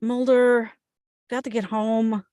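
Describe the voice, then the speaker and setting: An actress playing a skeptical, impatient and rational FBI agent at a script table read, matter-of-fact and argumentative. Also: female voice, a little high, flat delivery, slow, mellow, dry recording, studio quality.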